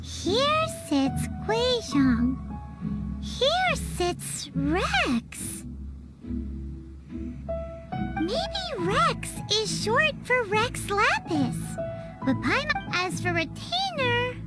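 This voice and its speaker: A woman voicing a tiny, childlike, excitable fairy companion in a fantasy video game, squeaky and chirpy with a naive manner. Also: drawn-out words, loud music behind the voice, exaggerated voice. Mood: curious, puzzling. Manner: chirpy, naive, talks about self in third person.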